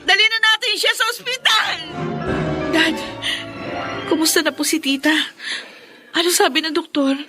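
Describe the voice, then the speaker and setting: An actress playing an anxious young daughter in a radio drama, urgent and worried. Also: female voice, extremely high pitch, lively intonation, normal speed, pauses often, over music.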